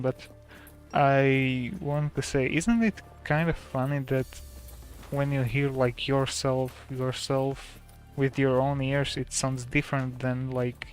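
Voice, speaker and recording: Calm, slow Slovak-accented man. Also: mid-range voice. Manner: soft-spoken and calm. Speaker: streamer. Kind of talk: casual gameplay commentary.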